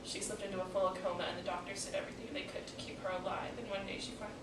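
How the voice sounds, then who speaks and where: A woman performing a dramatic stage monologue as a grieving daughter who bitterly questions God, with deliberate pauses. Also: mid-range voice, little pitch movement, lightly acted. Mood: hushed grief. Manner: deliberate, dramatic pauses, swelling from hushed to pleading. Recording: echoey room, distant mic, background noise.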